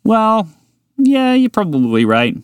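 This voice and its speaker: A man voicing a small, excitable, chatty mouse in a bedtime story, eager and bubbly. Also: high voice, clear, resonant tone, dry recording, studio quality, voice pushed up, full character voice. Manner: eager, bubbly, quick to laugh. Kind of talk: storybook character voice.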